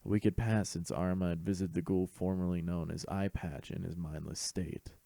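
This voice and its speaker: A man's voice: deep voice, steady tone, brisk, gravelly, mellow, dry recording. A man reading a scripted fan fiction story as host and narrator.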